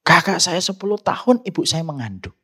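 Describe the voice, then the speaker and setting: A man's voice: fairly high pitch, big pitch swings, fairly quick, bright, forceful tone, husky. A middle-aged man with a Javanese accent preaching in a conversational way, speaking directly to his listeners as he tells and explains. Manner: conversational, addresses listeners directly with frequent asides. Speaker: Islamic preacher. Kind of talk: sermon with personal storytelling.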